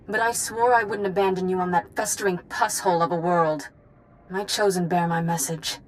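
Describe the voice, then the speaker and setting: A woman's voice: mid-range voice, slight background noise, lightly acted, rough recording. A woman voicing a resolute Jedi leader in a video game, speaking solemnly and with command to devoted followers. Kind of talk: scripted game cutscene dialogue.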